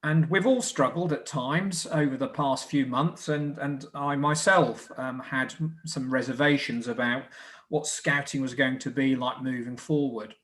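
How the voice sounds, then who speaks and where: A man with a mid-range voice presents a community group's evening event. He speaks as a leader, in an earnest and measured manner, partly following prepared remarks. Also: mid-range voice, fairly even intonation, fairly bright tone. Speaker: scout group leader hosting a live presentation. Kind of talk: semi-scripted event presenting.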